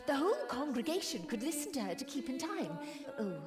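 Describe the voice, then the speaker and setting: An actress voicing a devout, single-minded choir nun in a video game, earnest and prim in manner. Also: female voice, sits high, big pitch swings, brisk. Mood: earnest, mournful. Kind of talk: voiced video game dialogue. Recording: long hall reverb with loud music.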